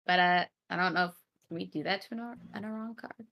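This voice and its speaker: A woman's voice: lowish voice, fast, highs cut off. A woman playing a tabletop roleplay game, talking in casual roleplay chat. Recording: clear background noise.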